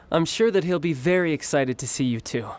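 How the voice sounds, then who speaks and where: An actor playing a proud, easygoing young warrior and doting brother in a video game, smooth and polite. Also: male voice, a little high, expressive, normal speed, a little hiss or hum, close to an everyday voice. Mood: friendly.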